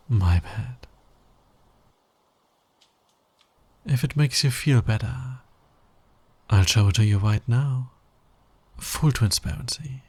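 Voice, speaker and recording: Male actor as cocky fantasy villain, slow and gravelly, smug, teasing, flirtatious, intimate ASMR roleplay. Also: mid-range voice, dark tone, no echo, full character voice. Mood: playful, mock-innocent. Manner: smug, playful, drawling, teasing.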